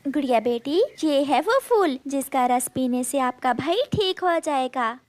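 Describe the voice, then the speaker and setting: Woman doing cartoon mama bird, slow n soothing, high voice, patient teacher type. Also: animated, no echo. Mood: gently instructive. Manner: soothing, instructive. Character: gentle mother bird, caring, patient, teaching her young.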